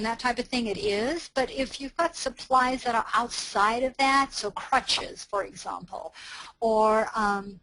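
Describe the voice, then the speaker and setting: A woman's voice: on the high side, fairly bright tone, poor audio. A woman, presenting an online training webinar in a careful, explanatory way with frequent fillers and restarts. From South Africa.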